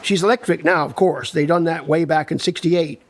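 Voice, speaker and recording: A man's voice: a little high, fairly even intonation, normal speed, natural voice. An older man with a Newfoundland accent playing a friendly, proud village local who reminisces in a chatty, folksy storytelling monologue with asides. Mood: fond, proud.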